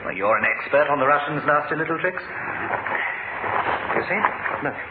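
Urbane British spymaster, played by a man, lively intonation. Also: on the high side, normal speed, very noisy, close to an everyday voice. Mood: dry, grave. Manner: clipped, dry understatement. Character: urbane, dry, manipulative male British spymaster boss. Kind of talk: 1970s radio drama acting. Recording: tinny old radio recording, loud music.

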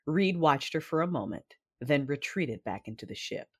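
A woman playing a grave, reserved warrior, narrating an audio drama in measured, formal, literary language. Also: deep voice, lively intonation, breathy edge. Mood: solemn, restrained.

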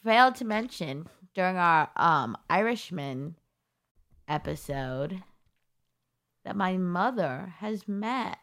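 A woman's voice: on the low side, lively intonation, slow pace, fairly bright tone. A young woman co-hosting a podcast, chatting casually in a rambling, self-deprecating way. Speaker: podcast co-host. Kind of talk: casual chat.

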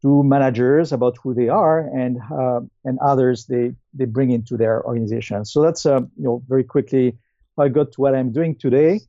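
A man in his fifties with a French accent answers interview questions conversationally, in a hesitant, halting way with many pauses. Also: mid-range voice, fairly even intonation, mellow, no room sound, highs cut off. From France. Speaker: guest, company founder and CEO.

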